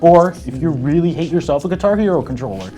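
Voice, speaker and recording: A man's voice: fairly high pitch, lively intonation, fast. A man taking part in a debate show, making his case in casual, chatty speech. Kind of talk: casual argumentative chat.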